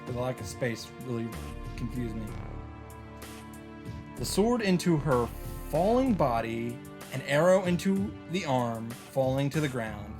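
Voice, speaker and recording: A man reading a visual novel story aloud as a host, in a dramatic narrating style. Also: medium pitch.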